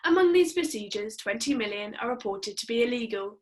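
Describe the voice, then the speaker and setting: Woman as an impassive, higher-pitched computer voice. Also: lively intonation, fairly quick, close to an everyday voice. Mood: detached, informative. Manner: formal, detached, procedural. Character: impassive official computer intelligence reciting statistics. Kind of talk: table read of a play, formal report.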